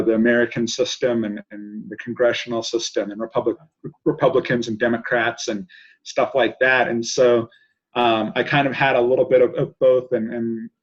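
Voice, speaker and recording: A man in his twenties who grew up in South Africa speaks casually as a podcast guest. His pitch is medium, and the video-call sound is a bit dull. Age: 20s. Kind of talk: casual personal talk. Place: indoor room at home, video call.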